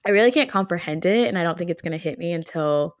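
A woman in her twenties co-hosting a podcast, chatting in a relaxed, reflective way and laughing often. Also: lowish voice, fast talker, no room sound, clean studio sound. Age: 20s. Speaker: podcast co-host. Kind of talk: casual chat.

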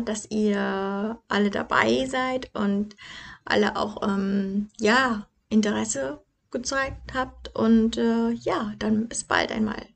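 Young woman rambling on her podcast, lots of ums, kinda gravelly, pretty flat. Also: on the high side. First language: German. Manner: hesitant, many fillers and restarts. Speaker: podcast host. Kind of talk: casual personal monologue.

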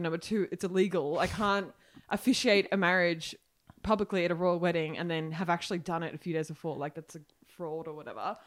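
A woman with an Australian accent talks casually as a podcast guest. Her voice sits a little low for a woman. She rambles, stacking up "like" and "um" as she explains. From Ireland. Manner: chatty, rambling, full of "like" fillers. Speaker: guest journalist.

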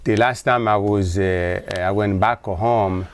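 A middle-aged man from the Congo, a guest talking in a casual interview. He pauses often and fills the gaps with long hesitations. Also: on the low side, steady tone. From Democratic Republic of Congo. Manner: halting, many filler pauses. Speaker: guest, environmentalist.

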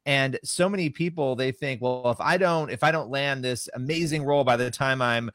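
A man's voice: medium pitch, normal speed, fairly bright tone, no room sound. Affirming male interview host, dry sound. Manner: affirming, enthusiastic, many fillers. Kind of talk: faith-based interview chat.

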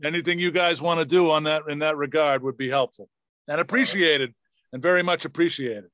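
A man's voice: a little high, fairly even intonation, a little fast, pushed and bright, no echo. A man hosting a podcast, talking casually and thanking his guests appreciatively. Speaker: podcast host. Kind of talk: casual interview sign-off.

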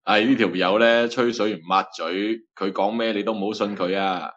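A man's voice: lowish voice, steady tone, normal speed, fairly bright tone, dull audio, close to an everyday voice. A man with a Hong Kong Cantonese accent playing an easygoing, burly bartender who used to be a gangster, telling stories. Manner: easygoing, storytelling. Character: laid-back burly male bartender, ex-gangster. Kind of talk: Cantonese radio drama acting.

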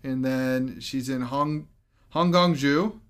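A man's voice: medium pitch, slow, fairly bright tone. A man in casual podcast chat, running through a film's cast lists and review scores. He talks easily and keeps laughing along the way. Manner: chatty, reads off film credits and scores, laughs often. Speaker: podcast co-host.

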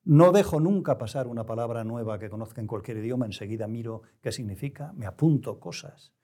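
A middle-aged man who is a writer, talking reflectively in an interview, choosing his words with pauses and restarts. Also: medium pitch, animated, dark tone. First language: Spanish. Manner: measured, searching for words, frequent restarts. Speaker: novelist, interview guest.

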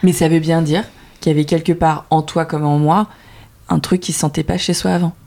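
A woman with a low, gravelly voice speaks in a relaxed conversation. Her tone is dark and mellow, and she thinks aloud with frequent pauses and fillers. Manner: thoughtful, hesitant, many fillers. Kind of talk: casual conversation.

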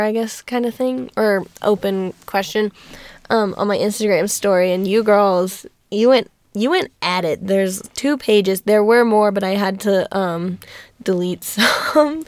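Young woman, high-pitched, giggly, rambling podcast host. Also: no room sound. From Alabama. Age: young adult. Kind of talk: casual podcast chat.

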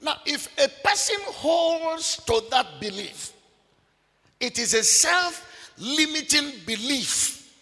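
A man preaching a sermon, emphatic and repetitive, at times breaking into shouts. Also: very high voice, sing-song, slow. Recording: long reverb of a large hall.

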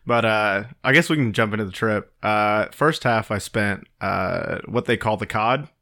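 A man chatting as a podcast guest, joking in deadpan banter. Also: on the low side, moderate pace, creaky. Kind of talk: casual podcast chat.

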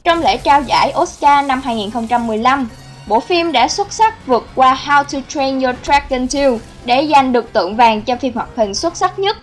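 High-voiced woman narrating over music. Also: expressive, normal speed. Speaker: host narrator of a film countdown video. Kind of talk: scripted narration. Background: background music.

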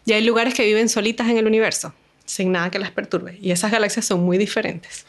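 A woman's voice: medium pitch, steady tone, fast talker. A woman from Venezuela with a Venezuelan Spanish accent, a guest astronomer explaining things patiently, step by step, in an interview.

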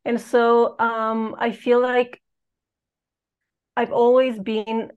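A woman in her late thirties from Romania, a podcast guest telling her personal story in a halting, reflective way with many pauses. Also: fairly high pitch, level tone, smooth, narrow, tinny audio.

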